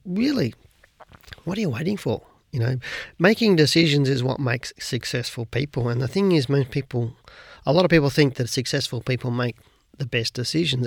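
A man hosting a solo podcast, giving casual advice in a coaching manner full of repeated rhetorical questions, his voice a little croaky this morning. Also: mid-range voice, no room sound. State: slightly croaky voice. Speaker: podcast host.

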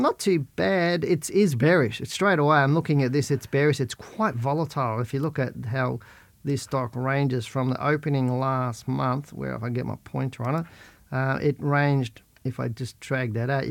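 Croaky man with a head cold. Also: medium pitch, no echo. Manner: chatty, plain-spoken, talks while reading charts. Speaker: podcast host answering listener questions. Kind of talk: casual market commentary.

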